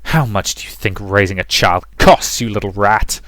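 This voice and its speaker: A man's voice: lowish voice, normal speed, a bit bright, close to an everyday voice. A man voicing a harsh, controlling mother who scolds and accuses in a visual novel reading. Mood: furious.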